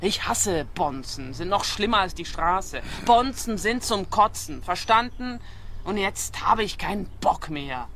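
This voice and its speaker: A hard-bitten squatter in a radio play, with a sharp, forceful voice. She fires out clipped, coarse, distrustful replies and always sounds ready for a fight, over faint ambience. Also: medium pitch, expressive, measured pace, a little hiss or hum. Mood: curt, scornful. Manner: curt, clipped, combative, coarse. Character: abrasive, distrustful young woman squatter from the streets.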